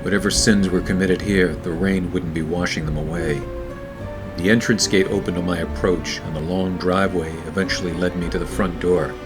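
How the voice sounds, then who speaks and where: A man performs a hardboiled gumshoe from a noir radio play. His low voice is wry and deadpan as he narrates, with music playing clearly underneath. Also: normal speed, close to an everyday voice. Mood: dry, brooding. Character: hardboiled, wisecracking 1940s male private detective narrator.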